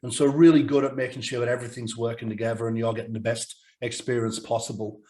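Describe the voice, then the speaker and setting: A man, croaky from a cold, presenting a business webinar over a video call. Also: mid-range voice, flat delivery, fast, mellow. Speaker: sales director presenting a webinar.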